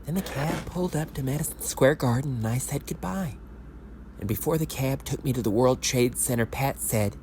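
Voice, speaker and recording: A man's voice: medium pitch, normal speed, darkish tone, lightly acted. Noisy recording, defeated man playing a sports fan. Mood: wistful recollection. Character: lifelong hopeless Knicks fan, recovering drinker, wistful and defeated. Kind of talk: audio drama monologue and dialogue.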